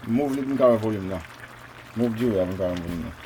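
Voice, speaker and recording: A man's voice: fairly low pitch, fairly quick, noisy background. A man casually talking viewers through a home cooking vlog, chatty and addressing them directly. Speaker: cooking vlogger.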